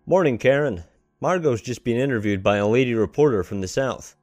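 A man's voice: mid-range voice, lively intonation, a little fast, a little mellow, no echo, soft background music, natural voice. An actor playing a genial, wry male playwright in a radio drama, with an inquisitive manner.

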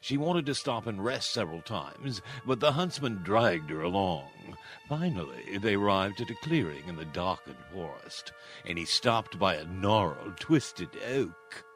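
Male narrator, very low pitch, scripted storytelling, faint music. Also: sing-song, a little slow, fairly bright tone.

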